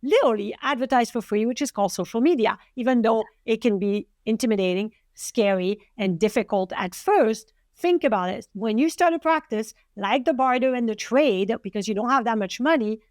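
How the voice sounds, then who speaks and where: High-voiced woman with a French accent. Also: expressive, fairly quick, few pauses, a little mellow, dry recording, clean studio sound. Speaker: podcast host. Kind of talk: conversational podcast interview.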